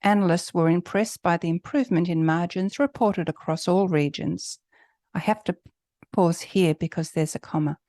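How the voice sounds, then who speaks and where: Low-pitched woman teaching, dry sound. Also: normal speed, warm tone, dry recording. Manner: clear step-by-step coaching, emphasizing example words. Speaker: English test preparation teacher. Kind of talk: instructional lesson, explaining pronunciation.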